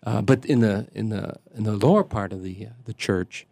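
An older man, a priest from the Chicago area, telling stories in casual conversation, halting often with fillers and restarts. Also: lowish voice, vocal fry, a little mellow, no room sound. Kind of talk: conversational storytelling.

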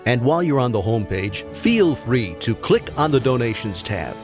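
A man reading a scripted church radio ad as an announcer, smooth and promotional. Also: medium pitch, sing-song, moderate pace, over music.

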